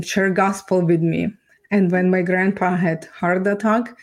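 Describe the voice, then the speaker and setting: Woman, Ukrainian accent, podcast guest, flat intonation, middle pitch, earnest halting testimony. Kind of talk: personal testimony storytelling.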